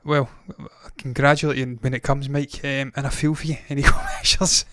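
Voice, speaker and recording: A man's voice: mid-range voice, flat delivery, moderate pace, fairly bright tone, dry recording, clean studio sound. A man in his thirties hosting a movie news show, talking casually, with rambling opinionated asides. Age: 30s.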